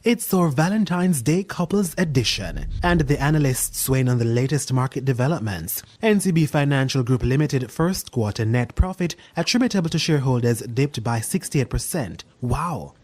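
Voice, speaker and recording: A man presenting business news in a scripted voice-over with a crisp, measured delivery. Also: medium pitch, animated, darkish tone.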